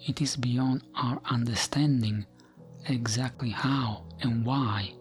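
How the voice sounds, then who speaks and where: A man softly reading fantasy lore as ASMR in a breathy, often whispered voice, with a gentle, soothing manner and frequent pauses. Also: mid-range voice, steady tone, faint music behind. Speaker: ASMR gaming channel host.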